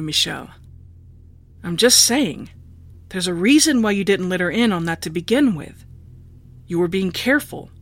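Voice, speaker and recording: An actress playing a calm, persuasive revolutionary plotter in a naturalistic audio drama. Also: female voice, mid-range voice, no room sound.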